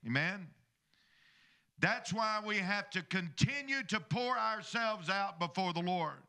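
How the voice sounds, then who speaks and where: A man's voice: sits high, pushed and bright. A middle-aged man preaching a sermon as pastor from a church stage into a handheld microphone, calling on the congregation for amens. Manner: preaching with call-and-response asides to the congregation.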